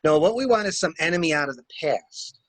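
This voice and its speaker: A man's voice: on the high side, animated, a little fast, fairly bright tone, dry recording. A man in a dramatic mystery reading, playing a shrewd stranger who questions a widow with wry, insistent probing. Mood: wry, calculating. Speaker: library staff reader.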